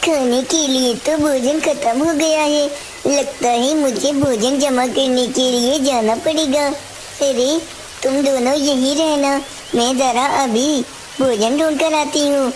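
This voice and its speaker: A woman voicing a caring, hardworking mother bird in a cartoon story, with exclamatory, expressive delivery. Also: sits high, takes it slowly. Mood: concerned. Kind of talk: Hindi cartoon story dialogue. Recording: hissy, clearly noisy background.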